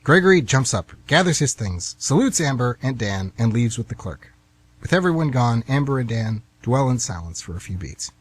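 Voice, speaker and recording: A man narrates an amateur radio play from a script. His delivery moves up and down in pitch, and his voice is a little husky. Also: sing-song, normal speed. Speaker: narrator and announcer.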